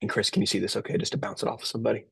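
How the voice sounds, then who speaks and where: Gravelly, husky man with a head cold. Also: on the low side, racing, no echo. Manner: relaxed, filler-heavy, restarts. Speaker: webinar host. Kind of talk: casual presentation.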